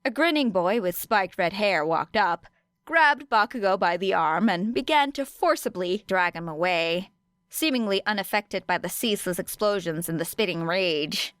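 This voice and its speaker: A woman's voice: medium pitch, animated, normal speed, fairly bright tone, smooth, dry recording. Female audiobook narrator, clean resonant voice, dry sound, scripted storytelling. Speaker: audiobook reader of fan fiction.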